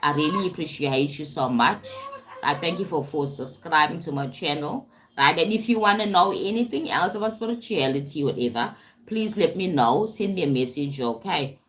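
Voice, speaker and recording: A woman's voice: low-pitched, animated, moderate pace, fairly bright tone. A woman from South Africa who works as a spiritual and life coach, talking casually to viewers on a live stream. She rambles, repeats herself and keeps checking in with "right?". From South Africa (Durban). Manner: rambling and repetitive, often asks "right?" and "you understand?".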